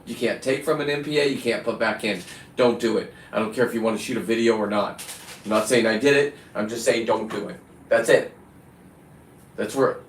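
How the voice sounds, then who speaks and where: A man hosting a casual livestream, talking in a halting, digressive way as he chats to someone off-screen and to his viewers. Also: mid-range voice, a bit bright. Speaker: live stream host. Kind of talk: casual, rambling hands-on livestream chat. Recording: echoey room, distant from the mic.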